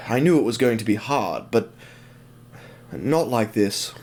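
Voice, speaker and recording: A man playing a troubled young little steam engine in an audio drama, earnest, slow and hesitant. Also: fairly low pitch, expressive, darkish tone, full character voice. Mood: resentful.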